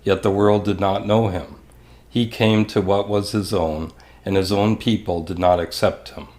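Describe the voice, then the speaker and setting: Low-voiced man reading scripture. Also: steady tone, unhurried, darkish tone. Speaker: scripture reader. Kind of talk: solemn scripture reading in church service.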